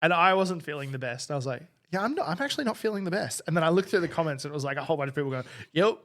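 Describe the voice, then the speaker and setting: A man's voice: a little high, expressive, rapid-fire, fairly bright tone. A man hosting a podcast in a casual, chatty way, laughing often and wandering into asides. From Australia. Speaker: podcast host. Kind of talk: casual chat.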